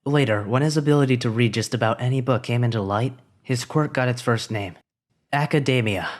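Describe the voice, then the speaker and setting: Man, story narrator, even steady reading, mid-pitched voice. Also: a little fast. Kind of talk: scripted narration of fan fiction.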